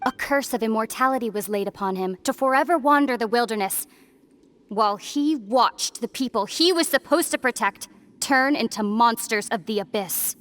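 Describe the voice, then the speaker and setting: A woman playing a solemn young princess and long-lost sister in a dramatic video game, grave and deliberate with sorrowful resolve. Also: fairly high pitch, fairly bright tone, slight background noise, natural voice. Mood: grave warning.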